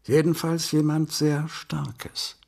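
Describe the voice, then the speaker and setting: Husky pedantic doctor. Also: male voice, on the high side, flat delivery, slow, darkish tone, exaggerated voice. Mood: cautioning. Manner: lecturing, emphatic. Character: pedantic, cautionary male psychiatrist. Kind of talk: radio crime drama dialogue.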